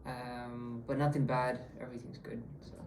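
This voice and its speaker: Relaxed young man, mellow voice. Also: mid-range voice, roomy sound, a little hiss or hum, poor audio. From India. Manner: relaxed, halting with fillers. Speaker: student interviewee. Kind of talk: casual interview answers.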